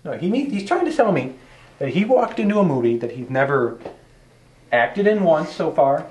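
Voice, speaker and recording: A man's voice: on the high side, big pitch swings, moderate pace, a little mellow. A man playing a bossy, exasperated amateur film director, argumentative and sarcastic, in a naturalistic comedic style. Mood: exasperated, commanding. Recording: echoey room, faint background noise.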